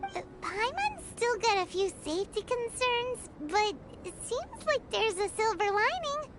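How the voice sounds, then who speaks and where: Squeaky excitable fairy companion. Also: female voice, measured pace, full character voice. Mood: cheerful. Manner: squeaky, bouncy, wide-swinging. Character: tiny chirpy floating fairy companion, excitable. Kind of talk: video game voice acting. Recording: game audio with loud music.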